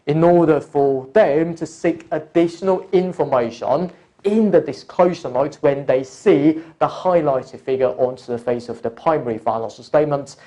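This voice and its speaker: A man working as an online course tutor, explaining step by step in a deliberate, instructional lecture style and addressing the learner directly. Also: a little high, dark tone. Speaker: accounting exam course tutor. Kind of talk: explanatory lecture, talking through examples.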